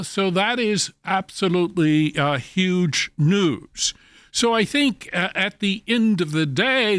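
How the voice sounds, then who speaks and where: A man speaking as an expert commentator in an interview, halting and deliberate as he explains economics. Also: sits high, dry recording, clean studio sound.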